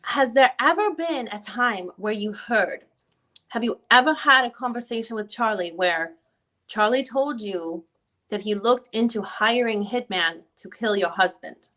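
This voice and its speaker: A woman playing a female lawyer takes a witness through a deposition. Her voice is fairly high and sharply bright. She questions persistently and methodically, restarting and rewording as she goes. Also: expressive, a little slow. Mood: pressing, methodical. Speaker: reader playing a deposing attorney. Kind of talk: dramatic reading of a deposition transcript.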